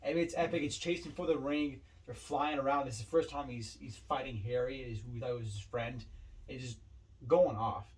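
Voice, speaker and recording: A man's voice: medium pitch, a little fast, recorded from a distance, rough recording. A man co-hosting a podcast, giving blunt opinions on films in casual talk, repeating himself for emphasis. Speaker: podcast co-host. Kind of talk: casual film discussion.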